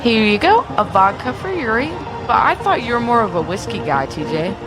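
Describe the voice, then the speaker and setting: Actress as flirty, lively-voiced woman. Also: fairly high pitch, brisk, close to an everyday voice. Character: flirtatious, eager-to-please woman at a fight night. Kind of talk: audio drama acting. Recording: music behind.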